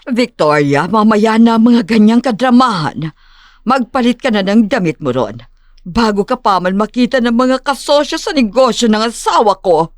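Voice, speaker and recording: A woman acts out a rich, snobbish middle-aged mother in an audio drama. Her voice sits fairly high and swings widely with theatrical disdain. She drops cutting English phrases into her talk, and the sound is close and dry. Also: very animated, normal speed, no echo. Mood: embarrassed, dismissive.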